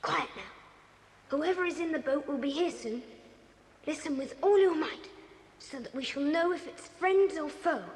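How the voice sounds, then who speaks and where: A woman's voice: high-pitched, moderate pace, darkish tone, long reverb tail. A woman playing an eager, bossy child with an English accent in a 1980s children's audio drama. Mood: hushed, tense. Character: eager, bossy British child on an island adventure. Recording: faintly noisy old cassette tape.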